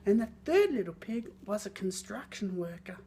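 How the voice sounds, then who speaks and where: Very animated woman storyteller, creaky edges. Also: mid-range voice, normal speed, a little hiss or hum. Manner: theatrical, expressive, lingering on words. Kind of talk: scripted children's story reading.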